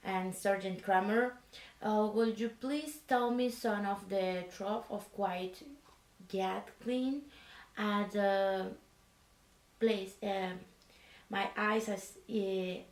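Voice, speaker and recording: A woman voicing a female resistance leader in pajamas, speaking softly and haltingly, with many hesitant fillers, in an ASMR roleplay. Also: medium pitch, steady tone, reverberant, far from the mic. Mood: earnest. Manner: halting, slow, with hesitant fillers and a foreign-sounding phrasing. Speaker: leader of a sleeper resistance unit. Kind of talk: soft-spoken ASMR roleplay.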